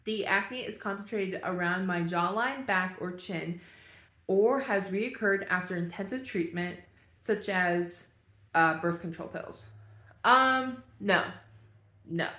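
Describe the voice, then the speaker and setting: A woman's voice: medium pitch, slow pace, bright, forceful tone, roomy sound. A young woman vlogger chatting casually and thinking aloud as she reads through a quiz, laughing at herself now and then. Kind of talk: casual talk while reading a quiz aloud.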